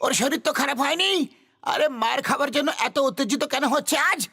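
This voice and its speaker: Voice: high-pitched, fast talker, creaky, sharp tone, soft background music, heavily acted. An actor voicing a cocky cartoon boxer bully with a brash, taunting delivery in a dub. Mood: mocking.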